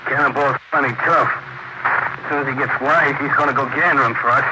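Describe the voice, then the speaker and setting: An actor with an American accent playing a tough small-time stick-up gangster, blunt and streetwise, in 1940s radio style. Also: male voice, medium pitch, fairly even intonation, brisk, close to an everyday voice. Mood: wary. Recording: noisy scratchy 1940s radio recording.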